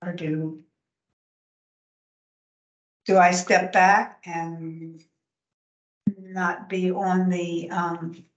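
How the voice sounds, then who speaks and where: An older woman chairing a town commission meeting, soft-spoken and hesitant, often trailing off. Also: on the low side, little pitch movement, drawn-out words, pauses often. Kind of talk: meeting discussion. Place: town council chamber. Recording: echoey room, dull lo-fi sound.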